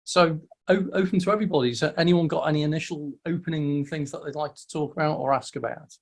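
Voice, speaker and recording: A man's voice: on the high side, fairly even intonation, fast talker. A man hosting a casual meetup over a video call, friendly and welcoming, often stumbling and restarting his words. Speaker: host of a weekly online meetup.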